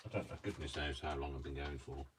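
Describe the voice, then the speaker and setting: A man's voice: a bass voice, flat delivery, quick pace. A man from Coventry with a mild, slightly posh British accent, chatting hesitantly and self-deprecatingly while he crafts at home. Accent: mild posh British English. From Coventry, England. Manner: rambling, hesitant, self-deprecating, many ums. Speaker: craft vlogger. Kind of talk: casual chat while crafting. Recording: distant mic with clear background noise.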